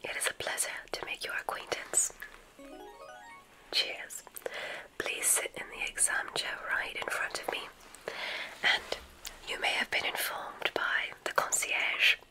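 A woman playing a gracious, whimsical witch who runs a magic school, in a genteel, breathy ASMR whisper. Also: close to an everyday voice, rough recording. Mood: welcoming, theatrical. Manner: genteel, unhurried, welcoming. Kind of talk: ASMR medical roleplay.

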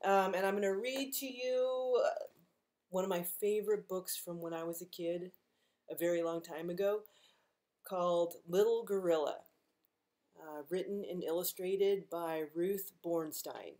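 A middle-aged woman reading a picture book aloud to young children, gentle and expressive, as a librarian at home. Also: lowish voice, a little slow. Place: living room at home, indoors.

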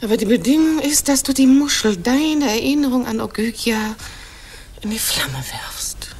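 A woman's voice: a little high, big pitch swings, moderate pace, a little mellow, slight background noise. A woman playing a proud, faithful but hurt queen in a radio play, solemn and insistent. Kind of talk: classic German radio play acting.

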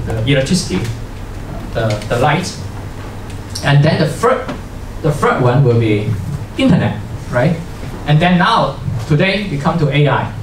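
A middle-aged man giving an informal talk at a tech meetup, halting, with many restarts and fillers, chuckling at his own points, on a handheld mic before a seated audience. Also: fairly high pitch, darkish tone, a little hiss or hum. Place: lecture room with seated audience. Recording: roomy hall audio from far off.

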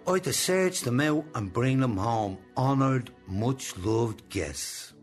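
An older Irish actor in a radio play, playing a controlling old boss with a deliberate, coaxing manner and benign menace. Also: male voice, medium pitch, animated, faint music behind. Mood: quietly menacing. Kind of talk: radio drama acting.

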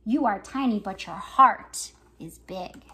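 A woman voicing a gentle, reassuring mother T-rex in a storybook, speaking softly and tenderly. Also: mid-range voice, expressive, takes it slowly, pitched below the performer's own voice.

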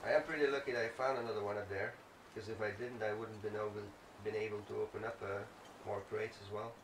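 A man's voice: lowish voice, steady tone, quick pace. A man giving casual, rambling gameplay commentary, thinking aloud as he plays. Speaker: let's play gamer. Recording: roomy, distant mic, faint noise.

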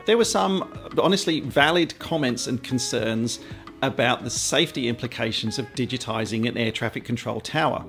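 A man, presenting an explainer to camera in a measured, conversational way. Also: medium pitch, a bit bright. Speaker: aviation vlogger and presenter. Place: small quiet home office, indoors.

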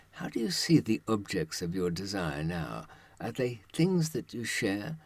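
A man in his seventies giving a reflective spoken monologue to camera, with deliberate, dramatic phrasing, pauses and rhetorical questions. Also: lowish voice, breathy edge, no echo.

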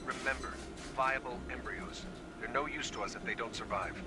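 Hushed, mid-pitched scheming conspirator played by a man. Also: steady tone, normal speed, over loud music, close to an everyday voice. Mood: conspiratorial. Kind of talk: film dialogue in a game cutscene.

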